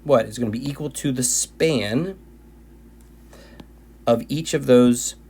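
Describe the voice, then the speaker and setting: A man teaching linear algebra in a recorded video lecture, his voice tired and going hoarse, often restarting and repeating phrases. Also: mid-range voice, slow, dark tone. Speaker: math teacher.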